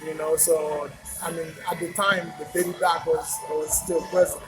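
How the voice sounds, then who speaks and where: A man's voice: fairly high pitch, level tone, moderate pace, over music, poor audio. A man, answering interview questions in a loose, rambling way full of fillers and repeats. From Haiti. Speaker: interview guest. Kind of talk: casual conversational interview.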